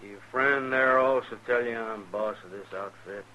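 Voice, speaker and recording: Actor as sharp-voiced, menacing trail boss. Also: male voice, medium pitch, moderate pace, muffled recording, close to an everyday voice. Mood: defiant, menacing. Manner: folksy, threatening drawl. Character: defiant, menacing Texan cattle drive boss. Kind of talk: 1950s radio western acting. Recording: noisy 1950s radio recording.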